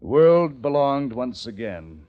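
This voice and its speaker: An actor playing a desperate, haunted plague survivor, narrating in a halting, theatrical old-time radio style. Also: male voice, medium pitch, very animated. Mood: haunted, reflective. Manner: intense, halting, theatrical delivery. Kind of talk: dramatic first-person radio narration. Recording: muffled vintage radio, music underneath.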